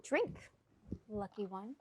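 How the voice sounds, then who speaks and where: A woman's voice: medium pitch, animated, slow, a little hiss or hum. A woman playing a sardonic, defiant member of a traveling acting troupe in a mocking, theatrical manner. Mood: self-satisfied. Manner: mocking, dramatic. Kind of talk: theatrical stage play acting.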